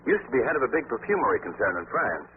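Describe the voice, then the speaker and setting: Suave young gentleman detective guy acting, breezy, swoops around a lot, crackly tinny 40s radio. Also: mid-range voice, very animated, racing, natural voice. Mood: casually confident. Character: urbane, self-assured wealthy young gentleman sleuth. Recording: tinny, noisy 1940s radio recording.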